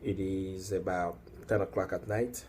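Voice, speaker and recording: Young man from the Congo, low mellow voice, hesitant then emphatic, solo talk video. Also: steady tone, unhurried, slight background noise. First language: Swahili or Lingala. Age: young adult. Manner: hesitant, many fillers, grows emphatic. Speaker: activist. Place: indoors at night, working.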